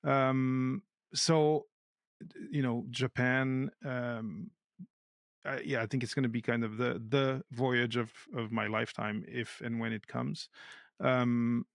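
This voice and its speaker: Man with gravelly voice. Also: medium pitch, flat delivery, slow, dry recording, studio quality. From Portugal. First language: Portuguese. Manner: thoughtful, searches for words aloud. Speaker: podcast guest. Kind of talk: casual conversation.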